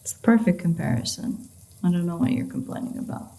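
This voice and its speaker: A woman acts out a playful vampire woman with a lowish, dark, relaxed voice. She is sardonic and flirty, with plenty of vocal fry. The delivery is intimate audio roleplay, free-spirited and foul-mouthed. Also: brisk. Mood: sarcastic, teasing. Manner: teasing, sarcastic, flirtatious, swearing.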